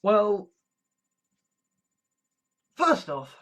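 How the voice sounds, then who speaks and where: A man from England, giving a measured, deliberate monologue full of restarts and fillers. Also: high-pitched, wildly swooping pitch, a bit bright. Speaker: video commentator. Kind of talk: casual monologue.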